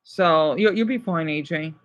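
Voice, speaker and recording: A man chatting casually to live viewers as a streamer, rambling and halting as he jumps between thoughts. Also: a little high, a bit bright, dull audio. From United States.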